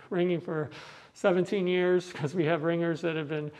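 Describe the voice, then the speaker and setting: A middle-aged man with an American accent and a fairly high voice that stays very even. He explains things patiently, in measured steps, in a conversational interview style. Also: poor audio. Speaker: bell ringer giving a tour. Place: large indoor tower room. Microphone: clip-on mic on the chest.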